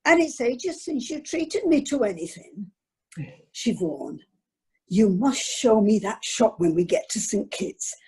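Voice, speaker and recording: A woman playing a spoilt, wheedling wife with a husky voice in an amateur radio comedy. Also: on the high side, animated, unhurried, dark tone, full character voice. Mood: gushing, wheedling. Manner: gushing, pointed, complaining.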